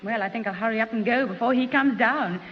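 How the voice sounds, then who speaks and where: An actress playing a teasing, sardonic grown-up sister in 1960s radio comedy. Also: female voice, a little high, fast talker, slight background noise, lightly acted. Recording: dull 1960s radio recording.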